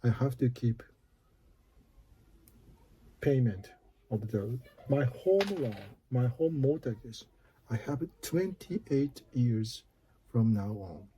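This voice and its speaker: A man in his fifties with a Japanese accent, talking slowly and haltingly about his own worries in a personal video. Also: mid-range voice, warm tone, slightly rough, poor audio. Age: 50s. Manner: slow, halting, careful phrasing. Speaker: office worker. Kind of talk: personal video talk to camera.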